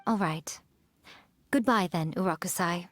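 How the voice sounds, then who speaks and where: A woman's voice: fairly low pitch, unhurried, dry recording, natural voice. A woman voicing a sly, centuries-old fox yokai shrine maiden in a video game, with a playful, smug, teasing drawl. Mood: assured. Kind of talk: video game character acting.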